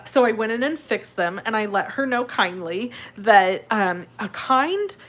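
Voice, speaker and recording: A woman's voice: on the high side, animated, unhurried, a bit bright. Stuffy-nosed woman with a head cold. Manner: sniffly, clears throat now and then; chatty and upbeat. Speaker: card-making host. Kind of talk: casual live tutorial chat.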